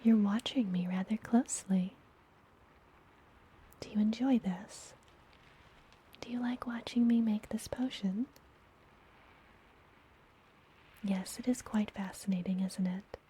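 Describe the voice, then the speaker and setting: A woman playing a friendly apothecary witch in a fantasy ASMR roleplay, speaking gently in a breathy, soothing whisper. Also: mid-range voice, rough recording. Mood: gently teasing. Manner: slow, gentle, soothing delivery. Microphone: close ASMR microphone.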